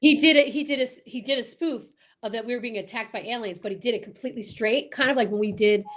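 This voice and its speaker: A woman's voice: a little high, fast talker, a bit bright. Emphatic, enthusiastic woman chatting as host. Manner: enthusiastic, emphatic, repeats words for stress. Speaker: host of a radio drama group's live chat. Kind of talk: casual chat.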